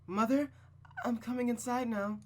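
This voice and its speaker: A reader voicing a frightened teenage girl who pleads with her mother in a high, panicked voice. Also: fairly even intonation, normal speed, a little mellow, slight background noise, close to an everyday voice. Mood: timid calling. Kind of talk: dramatic reading of a visual novel.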